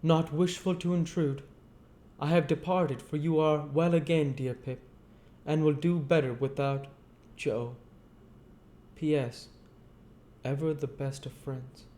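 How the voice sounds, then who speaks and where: Humble older working man, acted by a male reader, raised pitch, rustic dialect, deferential, halting, kindly, storybook character. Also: fairly even intonation, warm tone. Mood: humble farewell.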